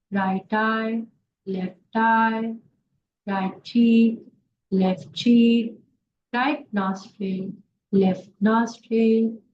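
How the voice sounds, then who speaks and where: A woman yoga instructor leading a guided relaxation meditation in short instructions with pauses between them. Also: on the high side. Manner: slow, pausing instructions in short phrases. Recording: dull lo-fi sound, faint background noise.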